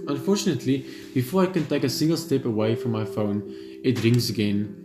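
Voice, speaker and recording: Male game reader, calm reflective narration, mid-pitched, roomy sound with a music bed. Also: a little fast. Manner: steady, reflective reading with small slips and restarts. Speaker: gamer reading a visual novel aloud. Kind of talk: first-person story narration.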